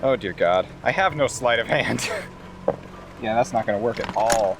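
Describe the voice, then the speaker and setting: A man's voice: mid-range voice, lively intonation, normal speed, background noise. A man talking casually and jokingly as a player in a tabletop roleplaying game. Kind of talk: casual in-character game play talk.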